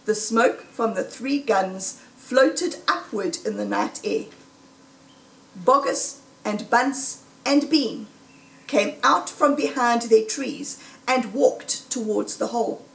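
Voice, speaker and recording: A woman reading a children's story aloud in a deliberate, dramatic, suspenseful storytelling manner. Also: fairly high pitch, creaky. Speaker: storybook reader. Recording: roomy echo, distant microphone.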